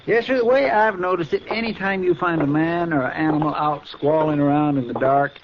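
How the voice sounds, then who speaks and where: A man's voice: on the high side, fairly quick, close to an everyday voice. A man with a playing a folksy, deferential, musing deputy in a 1950s radio drama. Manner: folksy, philosophizing. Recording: muffled, noisy 1950s radio recording.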